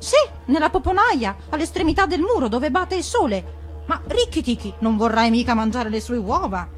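A woman's voice: sits high, big pitch swings, normal speed, pitched above the performer's own voice. A woman voicing a small, scatterbrained male songbird in a storybook, airy and sing-song. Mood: airy, adoring.

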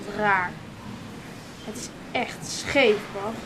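A woman's voice: mid-range voice, takes it slowly, background noise, natural voice. A woman playing a curious ten-year-old boy in a radio drama, asking childlike questions. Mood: puzzled, stubborn.

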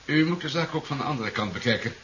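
An actor playing a composed, formal vice-admiral in a 1970s Dutch radio play, briefing in a measured, official manner. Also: male voice, mid-range voice, animated, fairly bright tone, lightly acted. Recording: dull, hissy 1970s radio recording.

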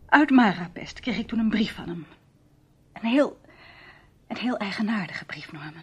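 A woman's voice: medium pitch, expressive, moderate pace, darkish tone, breathy edge. An actress in a Dutch radio play playing an anxious, fragile woman who speaks hesitantly and repeats her words. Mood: troubled, anxious. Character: anxious, fragile stage actress, sister of a missing man.

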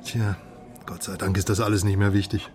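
A man's voice: fairly low pitch, flat delivery, fairly quick, darkish tone, lightly acted. A man acting in a radio drama as a philosophizing, optimistic drinker talking expansively to his friend. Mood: musing, confident.

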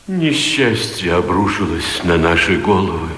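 A man's voice: low voice, expressive, a little slow, background noise. An actor playing a woeful, fearful captive nobleman, mournful and melodramatic in a radio comedy. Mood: mournful explaining. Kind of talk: theatrical radio comedy acting. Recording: echoey hall, long reverb.

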